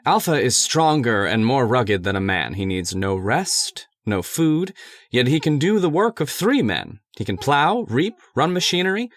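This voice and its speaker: Man as an earnest, animated lab assistant. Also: medium pitch, unhurried. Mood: earnest, persuasive. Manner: polite, formal, emphatic. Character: earnest, persuasive male laboratory assistant. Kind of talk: old-time radio drama acting.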